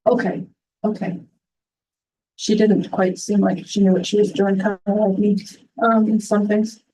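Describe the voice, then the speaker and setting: Soft-spoken woman, halting, mic at a distance. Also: medium pitch, little pitch movement, a little hiss or hum. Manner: halting, many restarts and fillers. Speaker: resident addressing town council. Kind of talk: public comment at a council meeting. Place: town council chamber.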